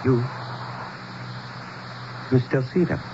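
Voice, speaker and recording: An actor playing an earnest, anxious village guide in a radio drama, with educated, pleading phrasing and hesitant repeats. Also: male voice, slow pace, lightly acted. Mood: puzzled, urgent. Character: earnest, anxious village spokesman guiding an outsider. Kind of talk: 1970s radio drama acting. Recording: muffled, noisy old radio recording.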